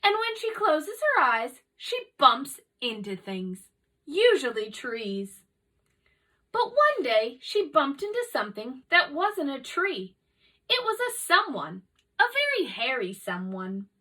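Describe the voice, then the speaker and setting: A woman reads a children's story aloud in a high, bright voice. She takes it slowly and clearly shapes each line for young listeners. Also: sing-song, distant mic, rough recording. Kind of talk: animated children's read-aloud narration.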